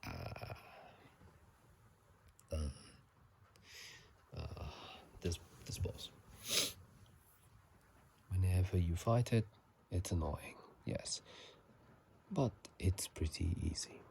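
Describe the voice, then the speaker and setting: Low whispering man with gravelly creak. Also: animated. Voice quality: soft whispery voice, breaking into low murmur. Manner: halting, casual, self-correcting. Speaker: channel host. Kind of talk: whispered ASMR game commentary. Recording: faint noise, phone microphone.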